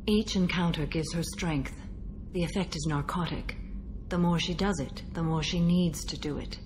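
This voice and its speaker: An actress playing a stoic, centuries-old alien warrior woman bound by a code in a video game, speaking in a measured, formal, solemn manner. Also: on the low side, background noise, lightly acted. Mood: solemn, stern. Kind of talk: video game dialogue acting.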